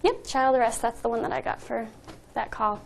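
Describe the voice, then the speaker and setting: A woman's voice: a little high, fairly even intonation, a little fast, vocal fry. A woman working as an emergency dispatcher, explaining things in plain-spoken, emphatic, unscripted talk. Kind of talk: unscripted interview talk, explaining her job.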